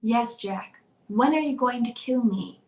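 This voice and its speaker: Anxious, high-voiced frightened wife played by an actress. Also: female voice, unhurried, a little mellow, close to an everyday voice. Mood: uneasy. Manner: anxious, pleading. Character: frightened young wife convinced her husband will kill her. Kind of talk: old-time radio drama acting.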